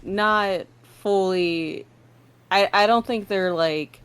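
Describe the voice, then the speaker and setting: A woman's voice: mid-range voice, drawn-out words, fairly bright tone, clear, resonant tone. A woman chatting hesitantly as a player in a tabletop roleplaying game. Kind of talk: casual chat.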